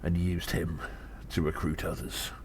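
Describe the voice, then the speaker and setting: A man playing a weary gnome investigator in an audio drama, musing haltingly and deliberately with breathy sighs. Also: low voice, flat delivery. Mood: grave, pondering. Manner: slow, deliberate, halting, pondering. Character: weary gnome investigator reporting on a spy conspiracy. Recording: faint background noise.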